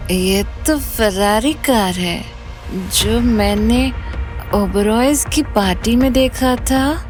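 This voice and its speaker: A woman's voice: fairly high pitch, slow pace, darkish tone, soft background music. An actress playing a hesitant, curious young woman in an audio drama. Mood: puzzled.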